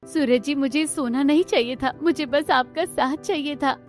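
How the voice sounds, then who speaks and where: A woman voicing a devoted, gentle young wife in a cartoon, with an earnest, emotional delivery. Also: high voice, moderate pace, lightly acted. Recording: background music under the voice.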